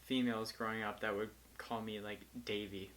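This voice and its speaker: A man's voice: lowish voice, level tone, moderate pace, a bit bright. A young man chatting casually as a co-reader, commenting on a story. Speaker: co-reader, host's husband. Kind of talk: casual chat.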